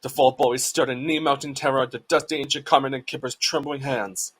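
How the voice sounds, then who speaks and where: A man's voice: medium pitch, steady tone, fairly quick, fairly bright tone, breathy edge. A man giving scripted narration of a storybook as its narrator.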